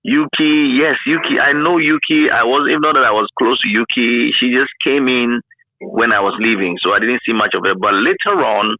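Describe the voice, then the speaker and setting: A man's voice: mid-range voice, normal speed, no echo. A man with a Ghanaian accent, middle-aged, reminiscing in a casual interview and often repeating words for emphasis. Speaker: interviewee, former radio broadcaster. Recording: narrow phone-like line.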